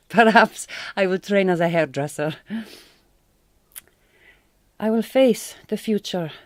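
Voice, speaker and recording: A woman's voice: medium pitch, a little mellow, no room sound, clean studio sound. A Hungarian woman, played by an actress in a radio drama, speaks in a mid-range voice with a Hungarian accent. She is tender yet resolute, choosing her formal English with care. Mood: earnest, resolute. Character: resolute, tender Hungarian woman, bereaved migrant farm worker. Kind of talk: naturalistic radio drama acting.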